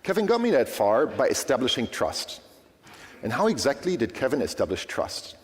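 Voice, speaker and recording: A man whose first language is German, giving a lively, conversational tech conference talk and often checking in with the audience. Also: medium pitch, normal speed. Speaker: conference speaker. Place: large conference hall. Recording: long hall reverb.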